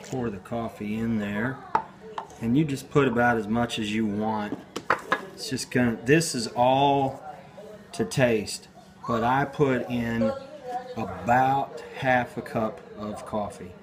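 A man in his forties hosting a casual cooking demo in his home kitchen, talking slowly and deadpan with many hesitations. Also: medium pitch, a crowd in the background. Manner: slow, rambling, deadpan, with hesitations. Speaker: home cooking video host. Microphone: phone camera on the counter.

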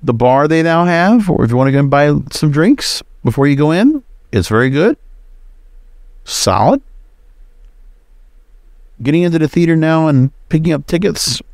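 A man's voice: a little high, sing-song, moderate pace, long pauses, darkish tone, no room sound, studio quality. A man hosting a podcast solo with casual, conversational commentary, dropping opinionated asides in short, choppy sentences. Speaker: podcast host.

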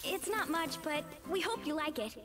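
A woman's voice: high voice, normal speed, lightly acted. An actress voicing a friendly, earnest young faun woman in a video game. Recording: game audio with music behind.

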